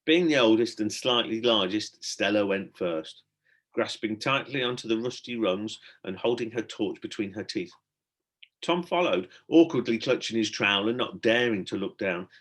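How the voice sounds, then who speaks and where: A man reading a children's adventure story aloud as a teacher would, in expressive storybook narration. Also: on the low side, moderate pace.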